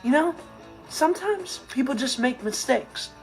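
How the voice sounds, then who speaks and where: A man's voice: very high-pitched, expressive, a little slow, faint music behind, exaggerated voice. An actor playing an earnest, fussy, well-meaning nerdy teenage boy explaining things in a sitcom monologue.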